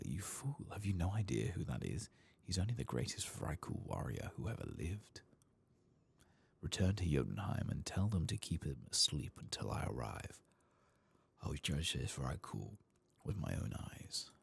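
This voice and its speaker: A man voicing an imperious, impatient fantasy villainess from a game, soft-spoken and haughty, with a breathy, husky voice. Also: extremely low pitch, sing-song, normal speed, full character voice. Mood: scornful, commanding. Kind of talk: soft-spoken game dialogue read-aloud.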